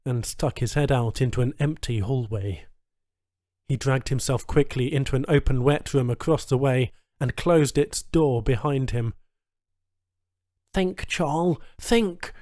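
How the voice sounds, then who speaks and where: A man narrating a science fiction story in a deliberate, suspenseful, dramatic reading style. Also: medium pitch, darkish tone, no echo, clean studio sound. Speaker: audiobook narrator.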